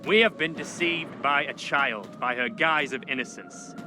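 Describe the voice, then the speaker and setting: An actor playing an authoritative seventeenth-century judge in a video game, speaking formally and with measured, declamatory weight. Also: male voice, mid-range voice, background noise, lightly acted. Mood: stern accusation. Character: solemn, authoritative 17th-century witch-trial judge. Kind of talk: dramatic video game acting.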